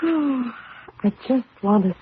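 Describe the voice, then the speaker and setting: A woman's voice: on the high side, animated, extremely slow, narrow, tinny audio, faint music behind. An actress playing an anxious, devoted young wife and mother in an old radio drama, hesitant and affectionate. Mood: fond, wistful. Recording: band-limited noisy old radio recording.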